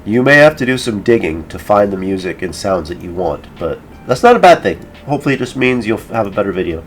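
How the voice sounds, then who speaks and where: A man hosting a casual software tutorial, explaining each step in an informal way. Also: on the low side, very animated, fast, soft background music. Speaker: tutorial host. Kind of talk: casual screen-recorded software tutorial narration.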